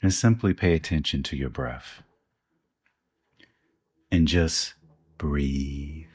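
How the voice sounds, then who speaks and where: A man co-hosting a podcast, leading a guided meditation with soft, slow instructions and long pauses. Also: very deep voice, husky, no room sound, studio quality.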